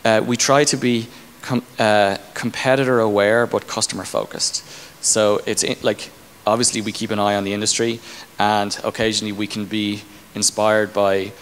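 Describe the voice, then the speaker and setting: Low-voiced man in an echoey hall. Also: flat delivery, normal speed. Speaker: tech company engineering leader being interviewed. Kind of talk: conference Q&A, informal explanation. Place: indoor conference stage. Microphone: handheld microphone. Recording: echoey hall, clear background room noise.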